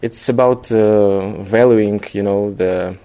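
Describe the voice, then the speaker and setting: A man from Ukraine with a Ukrainian accent, a filmmaker being interviewed, searching for words haltingly in his non-native English. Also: on the low side, fairly even intonation, warm tone, slight background noise. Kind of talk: conversational interview.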